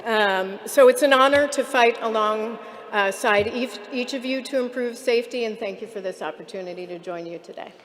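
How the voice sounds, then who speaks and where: A woman's voice: a little high, moderate pace, noisy background. A woman giving podium remarks as a guest speaker at an official event. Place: large hall at a public event. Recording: echoey hall reverb.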